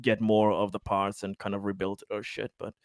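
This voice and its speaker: A man chatting casually as a tabletop game player, halting and wry, swearing freely. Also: fairly low pitch, little pitch movement, no echo, studio quality.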